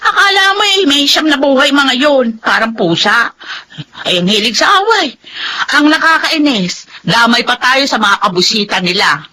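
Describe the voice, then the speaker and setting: A woman's voice: high voice, lively intonation, moderate pace, pushed and bright, a little hiss or hum. An actress playing an exasperated, outspoken Filipino mother, scolding expressively in a radio drama.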